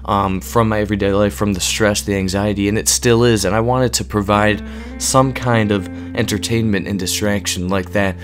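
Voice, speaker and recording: A man in his twenties with a hoarse voice, monotone and rambling, talking earnestly and unscripted to his viewers. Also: on the low side, normal speed, faint music behind. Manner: rambling, earnest, many ums. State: hoarse, voice going from illness. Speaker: gaming YouTuber. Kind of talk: unscripted casual talk to camera.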